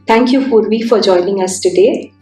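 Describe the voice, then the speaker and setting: A woman's voice: mid-range voice, moderate pace, dark tone, roomy sound, faint music behind, poor audio. A woman hosting an interview series, reading a formal scripted introduction before asking her guest a question. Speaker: interview host for a consulate series. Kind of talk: read introduction, then interview.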